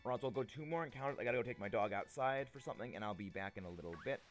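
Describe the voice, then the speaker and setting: A young man chatting playfully with his stream viewers, a little gravelly and prone to repeating himself. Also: mid-range voice, racing, soft background music. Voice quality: slightly gravelly. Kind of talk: casual live-stream chat with viewers.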